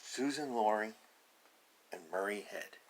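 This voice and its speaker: A man's voice: fairly low pitch, a little slow, a little hiss or hum, low-quality recording. A man reading a picture book aloud to young children, adding playful, simple explanations in casual asides.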